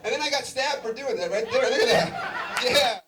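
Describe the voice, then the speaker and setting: Guy doing stand-up, high lively voice, roomy club sound with the crowd laughing, mic kinda far. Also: moderate pace. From Southern California. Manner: animated, chatty, loose with fillers, banters with the crowd. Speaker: stand-up comedian. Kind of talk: stand-up comedy set. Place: comedy venue before a live audience. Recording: roomy live venue recording from a distance with crowd noise. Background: audience laughter.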